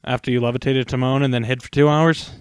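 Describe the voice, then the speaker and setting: A man voicing a stubborn, teasing grown lion with forceful retorts in a dramatic story reading. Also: mid-range voice, fairly even intonation, fast, clear, resonant tone, no room sound, clean studio sound, lightly acted. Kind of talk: dramatic fan fiction reading.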